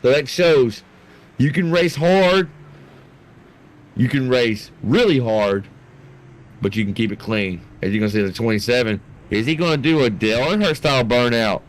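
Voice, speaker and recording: A man giving excited, folksy live play-by-play commentary on a sim race, in an animated and colloquial way. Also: mid-range voice, normal speed, low-quality recording. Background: racing game vehicle noise.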